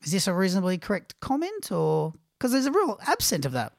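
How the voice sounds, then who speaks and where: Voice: mid-range voice, huge pitch swings, brisk, creaky, no echo, clean studio sound. An interviewer asking about an album, hesitant and restarting often. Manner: hesitant, frequent agreeing. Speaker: interviewer, host. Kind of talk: casual interview chat.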